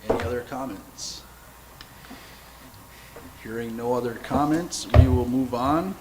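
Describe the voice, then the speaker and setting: A man serving as mayor, chairing a city council meeting in a brisk, procedural way. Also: mid-range voice. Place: council chamber. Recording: noisy council chamber recording.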